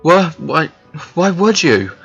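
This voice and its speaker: Cartoon dragon dad, male actor: higher-set forceful voice, earnest and protective, defiant under strain, faint music behind. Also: sing-song, takes it slowly, creaky, dull audio, exaggerated voice. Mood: stunned. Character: protective, defiant dragon father serving a queen. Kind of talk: animated fantasy drama acting.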